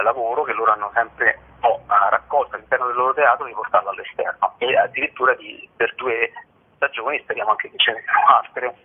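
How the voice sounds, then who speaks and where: A man speaks over a thin, phone-quality line in a breathy, slightly rough voice. He answers as an interview guest, informally and haltingly, often repeating words as he searches for the next one. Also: mid-range voice. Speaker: interview guest, festival participant and writer. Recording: narrow, muffled telephone line.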